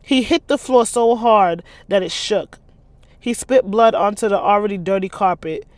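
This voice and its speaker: Expressive American woman, slangy storyteller. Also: medium pitch, normal speed, dry recording. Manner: animated, slangy, colloquial. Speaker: author and host reading their own novel aloud. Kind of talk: expressive read-aloud of urban fiction with casual commentary.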